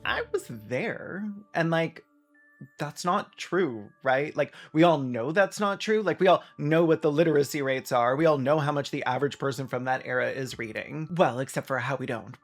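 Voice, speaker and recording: Sardonic, higher-pitched man hosting a video essay. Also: fairly bright tone. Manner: sardonic, mock-dramatic, conversational. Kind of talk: sarcastic video essay commentary.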